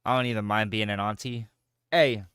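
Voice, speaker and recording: A man's voice: fairly low pitch, moderate pace, clean tone, dry recording, studio quality. A man in his thirties, a gaming streamer chatting casually with jokes, swearing and playful banter. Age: 30s. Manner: joking, profane, animated banter. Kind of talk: casual chat while gaming.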